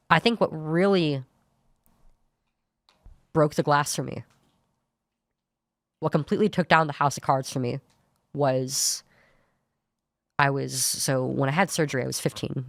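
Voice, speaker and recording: A young woman with a low voice that is hard to place as male or female, giving an earnest personal account as a podcast guest, often restarting her sentences. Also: no room sound, studio quality. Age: young adult. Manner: earnest, searching, many restarts. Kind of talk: personal account in studio talk show.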